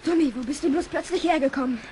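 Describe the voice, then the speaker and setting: Female actor as anxious child adventurer, very high voice, earnest, exclamatory, old audio drama, faint background noise. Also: fast talker, dark tone, close to an everyday voice. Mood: excited. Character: anxious, excitable child adventurer nicknamed Stubbs. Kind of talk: 1970s German children's audio play acting.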